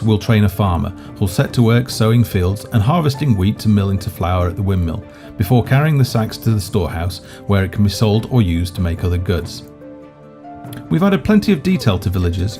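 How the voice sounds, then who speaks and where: Male narrator for a game walkthrough: lowish, relaxed voice, measured scripted read. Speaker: game presenter. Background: background music.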